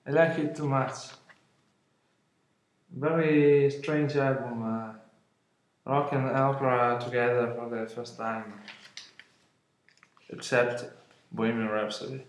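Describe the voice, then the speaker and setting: A man in his twenties from Italy, speaking English with an Italian accent, unboxing an album at home, halting with many fillers and apologies. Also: medium pitch, fairly even intonation, echoey room, rough recording. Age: 20s. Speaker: vlogger. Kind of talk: casual unboxing vlog. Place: room at home, indoors. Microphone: webcam at arm's length.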